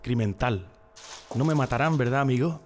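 A man's voice: mid-range voice, normal speed, a little hiss or hum, lightly acted. A man with a Spanish accent from Spain playing a naive, loyal party man in jail, earnest and confiding, in a radio drama. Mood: anxious, hopeful. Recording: music behind the voice.

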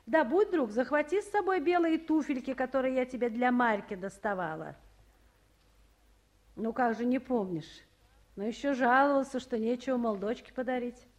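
Actress as a high-voiced commanding woman official. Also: moderate pace, lightly acted. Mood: firm, reproachful. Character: busy woman official, motherly yet commanding. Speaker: official in charge. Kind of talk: 1950s Russian radio play acting.

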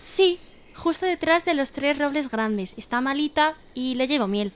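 Little fairy-tale girl adult female actor: high-pitched, innocent, polite, earnest, some noise behind. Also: animated, normal speed. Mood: trusting. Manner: earnest, trusting. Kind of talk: audio fairy-tale drama acting.

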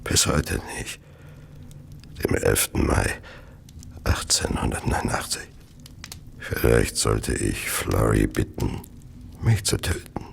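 An actor playing an ailing, remorseful murderer in a radio drama, confessing wearily in a gravelly, whispery voice. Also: male voice, a bass voice, sing-song, exaggerated voice. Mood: despairing. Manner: slow, weary, tormented confession.

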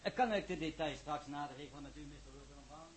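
An actor playing a practical, brisk male transport expert in a 1960s Dutch radio drama, matter-of-fact in manner. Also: a little high, background noise, natural voice.

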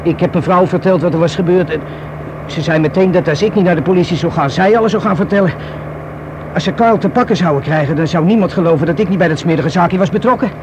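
A man's voice: high-pitched, quick pace, close to an everyday voice. An actor playing a wary, rueful young man confiding in the police in a radio drama, colloquial and hesitant. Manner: hesitant, colloquial, self-reproaching. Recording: noisy old radio play recording.

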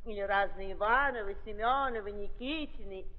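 An actress playing a blunt, gossipy old aristocratic aunt who drawls for emphasis and drops in French, in 1930s theatrical style. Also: female voice, mid-range voice, normal speed, lightly acted. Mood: gossipy, breezy. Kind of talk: 1930s theatrical radio acting. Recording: dull 1930s recording with hall reverb.

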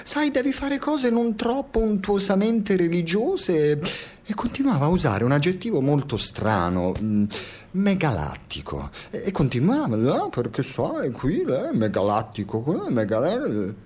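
An actor playing a sardonic, neurotic Italian expatriate in a radio drama, speaking in a halting, digressive monologue with an Italian accent. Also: male voice, medium pitch, a little mellow, breathy edge. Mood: mocking anecdote. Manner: halting, digressive, swearing, swings from muttering to exclaiming. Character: bitter, rambling precarious Italian expatriate man, sardonic and neurotic.

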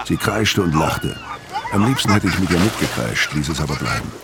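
Deep, world-weary tough guy. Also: sing-song, brisk, gravelly, heavily acted. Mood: brooding. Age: middle-aged. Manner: laconic, brooding. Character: hard, world-weary, taciturn middle-aged tough guy. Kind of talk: first-person narration in radio crime drama.

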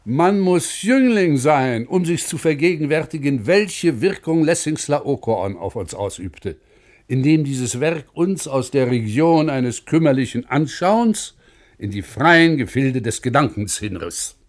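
Man acting elevated, measured poet. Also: mid-range voice, animated, natural voice. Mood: reverent recollection. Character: famed poet recalling his youthful enthusiasm. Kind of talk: literary quotation, mid-century German radio acting.

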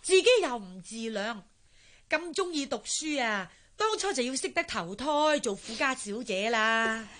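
A poor, embittered widowed mother in a radio play. Her voice is high and sharp, with a Hong Kong Cantonese accent, and she scolds and complains with melodramatic exasperation. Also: expressive, a little slow. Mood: bitter complaint. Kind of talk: Cantonese radio drama acting.

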